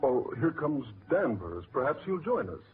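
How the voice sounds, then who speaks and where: An actor playing a wry, scoffing British gentleman with a dry manner in a 1940s radio drama. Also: on the high side, big pitch swings, normal speed. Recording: noisy, tinny 1940s radio recording.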